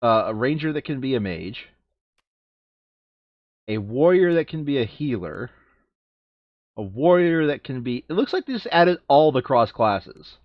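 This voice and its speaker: A man's voice: medium pitch, very animated, measured pace, long pauses, no room sound, lo-fi sound. A man chatting casually as he hosts a board game, thinking aloud and often correcting himself as he goes. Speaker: board game player and video host. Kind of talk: casual chat while sorting game cards.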